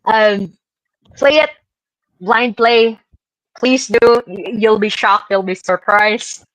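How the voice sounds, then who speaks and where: A woman's voice: mid-range voice, slow pace, poor audio. A woman presenting games in an enthusiastic, casual chat. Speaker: gaming show presenter.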